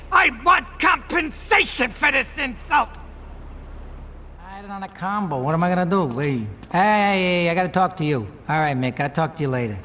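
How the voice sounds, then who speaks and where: An actor playing a sleazy, pushy mob boss giving orders. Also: male voice, on the high side, bright, forceful tone, slight background noise, exaggerated voice. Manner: brash, demanding, fast-talking.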